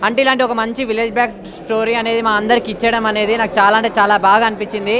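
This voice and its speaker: Fast, even-toned speaker in a crowd. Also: medium pitch. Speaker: moviegoer giving a film review. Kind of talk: earnest public reaction to camera.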